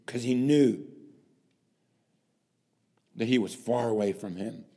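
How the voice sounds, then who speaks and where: A man preaching a sermon at a very unhurried pace, his voice sitting at a middling pitch. He is dramatic, dropping to hushed lines and then rising into loud emphasis as he poses rhetorical questions. Long reverb trails each phrase around a large hall.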